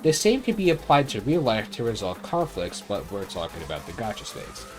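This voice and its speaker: Animated man giving a blunt game review. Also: fairly low pitch, brisk, over music. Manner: blunt, profane, conversational. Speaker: video game reviewer, channel host. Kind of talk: opinionated video review commentary.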